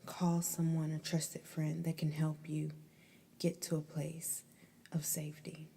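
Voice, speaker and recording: Whispering woman, slow and dark-toned. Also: sits low, monotone, slight background noise. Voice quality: breathy, unvoiced whisper. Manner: soothing, deliberate, with pauses between phrases. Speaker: ASMR guided meditation host. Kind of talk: whispered ASMR guided meditation.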